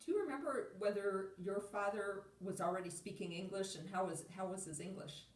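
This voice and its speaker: A woman's voice: medium pitch, fairly quick, far from the mic. Woman asking questions from off-mic. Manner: probing follow-up questions. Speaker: interviewer. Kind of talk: oral history interview questions. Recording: roomy, from farther off the mic.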